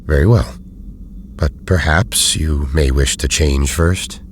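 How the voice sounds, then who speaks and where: Very deep, erudite hero scientist. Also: male voice, slight background noise, exaggerated voice. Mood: polite, careful. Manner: formal, deliberate phrasing. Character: erudite, measured male scientist hero, weary but loyal. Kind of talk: audio drama acting.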